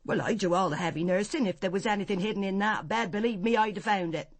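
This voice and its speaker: A woman's voice: medium pitch, fast talker, no room sound, close to an everyday voice. An actress plays a disapproving English household servant in a radio drama. She is blunt and grudging, guarding her ground, and is heard very dry and close. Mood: defensive. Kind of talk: BBC radio drama acting.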